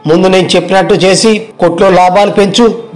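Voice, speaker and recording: A man's voice: high-pitched, fairly even intonation, a little fast, soft background music, close to an everyday voice. A man playing an arrogant, greedy tea-stall owner in a moral story cartoon, boastful and bossy. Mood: scheming, bossy.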